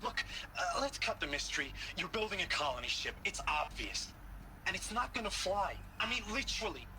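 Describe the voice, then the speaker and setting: A man's voice: high voice, sing-song, fairly quick, bright, forceful tone, a little hiss or hum, exaggerated voice, low-quality recording. An actor playing an agitated, sarcastic, incredulous male scientist in a video game cutscene, speaking emphatically. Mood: agitated, insistent.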